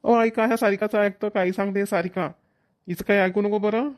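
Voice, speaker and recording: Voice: mid-range voice, steady tone, fairly quick, natural voice. A performer playing an argumentative boy in a cartoon village family comedy, with a Vidarbha Marathi accent. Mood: defensive. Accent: Maharashtrian, Vidarbha Marathi. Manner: complaining, argumentative.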